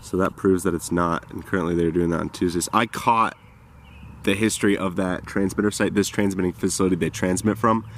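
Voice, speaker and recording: A man's voice: deep voice, steady tone, fairly quick. A man giving casual running commentary as a radio hobbyist, recorded outdoors on a camera microphone. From United States. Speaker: shortwave radio hobbyist and vlogger. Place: outdoors in a backyard. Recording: noisy outdoor camera audio.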